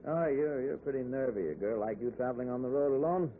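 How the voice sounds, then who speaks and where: Male actor as folksy American salesman, lively intonation, talkative, jovial, vintage radio drama, thin band-limited sound. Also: medium pitch, fast, close to an everyday voice. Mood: jovial, chatty. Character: chatty married traveling salesman, jovial and folksy. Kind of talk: 1940s radio drama acting. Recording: tinny, noisy old radio broadcast recording.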